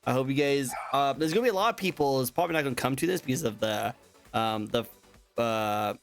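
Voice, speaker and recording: A man's voice: mid-range voice, expressive, fast talker, gravelly, soft background music. Upbeat man hosting a chat show. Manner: upbeat, repeats phrases, playful. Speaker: talk show host. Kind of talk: casual interview chat.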